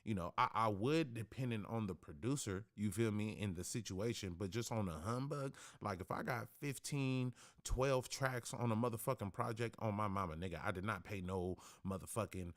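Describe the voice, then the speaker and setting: A man hosting a livestream from his home studio, talking casually and bluntly with lots of profanity and slang in a deep, bassy voice on a studio microphone. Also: moderate pace, few pauses. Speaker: livestream host. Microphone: studio podcast microphone.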